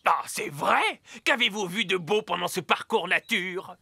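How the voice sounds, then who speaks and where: A friendly little cartoon gossip who cannot stop talking. The voice is very bright and forceful, with lively, emphatic swings. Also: female voice, mid-range voice, measured pace, vocal fry, breathy edge, no echo, exaggerated voice. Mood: chatty, enthusiastic. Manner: talkative, emphatic, enthusiastic storytelling. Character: chatty, gossiping, friendly little forest figure. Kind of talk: animated cartoon voice acting.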